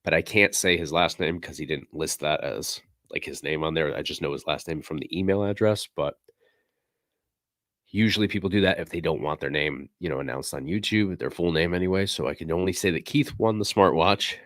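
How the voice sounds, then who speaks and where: A man hosting a casual livestream, slightly hoarse and losing his voice, talking in a relaxed, rambling way with many fillers. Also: very deep voice, fairly quick, no echo, studio quality. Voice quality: raspy. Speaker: livestream host.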